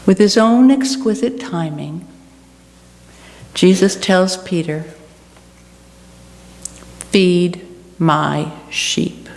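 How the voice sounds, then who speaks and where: Slow-speaking woman preaching in an echoey hall. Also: fairly low pitch, a little mellow. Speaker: guest speaker giving a church reflection. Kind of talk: personal sermon reflection to a congregation. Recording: echoey hall with clear background noise.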